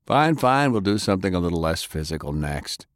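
Exasperated male fight instructor, read by a man, gruff and commanding, adventure audiobook. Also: sits low, big pitch swings, brisk, dry recording, studio quality. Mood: resigned. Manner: sighing, commanding.

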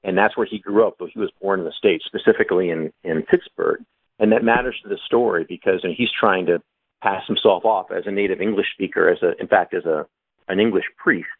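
Author on a call: low male voice, dry, tinny phone-line sound, explains patiently with fillers. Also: a little fast, no room sound. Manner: explanatory, thoughtful, many fillers. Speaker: author guest. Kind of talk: casual panel discussion.

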